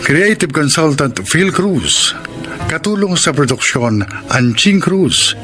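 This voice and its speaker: Animated Filipino male radio announcer over music. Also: fairly high pitch. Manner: measured, formal, theatrical. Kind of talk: formal scripted radio drama narration. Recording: prominent music behind the voice.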